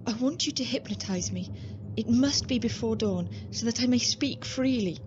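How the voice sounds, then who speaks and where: A woman's voice: a little high, normal speed, dull audio, noisy background, close to an everyday voice. A woman playing an anguished young wife under a vampire's hold, fervent and melodramatic in a radio drama. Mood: pleading.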